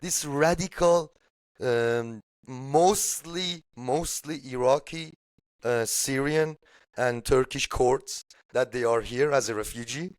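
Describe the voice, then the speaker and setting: A man's voice: mid-range voice, slow, dry recording. Emphatic Iranian man talking politics. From Shiraz, Iran. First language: Persian. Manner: emphatic, blunt, swears casually. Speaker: political podcast speaker. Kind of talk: casual political discussion.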